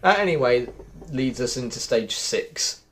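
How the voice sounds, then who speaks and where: A man's voice: mid-range voice, lively intonation, a little slow, fairly bright tone, slight background noise. A man hosting a casual gameplay walkthrough, thinking aloud and talking straight to his viewers. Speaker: gaming video host.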